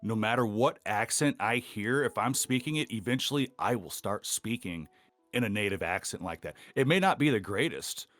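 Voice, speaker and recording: A middle-aged man speaks in a low register with the slight growl of a longtime smoker. He rambles bluntly and casually through a podcast interview as a voice actor, with faint music playing underneath. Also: lively intonation, moderate pace, a bit bright.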